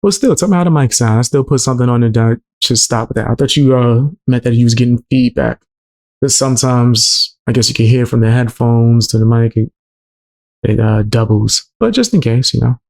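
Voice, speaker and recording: A man with a low voice hosting a livestream, chatting in a laid-back way with viewers. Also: normal speed, darkish tone. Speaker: livestream host. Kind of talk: casual livestream chat.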